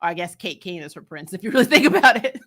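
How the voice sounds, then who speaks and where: Bright-voiced, sassy woman recapping TV. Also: mid-range voice, big pitch swings, fast talker. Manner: candid, sassy, emphatic, colloquial with casual swearing. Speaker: host of a TV recap show. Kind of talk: casual fan commentary and chat.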